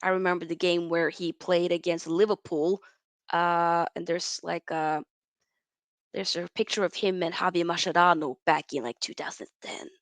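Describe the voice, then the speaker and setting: A woman in her twenties from Norway, hosting a live football chat stream and reading out viewer messages in a lively, chatty way full of slang and restarts. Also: fairly low pitch, normal speed, dry recording. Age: 20s. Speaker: football channel host.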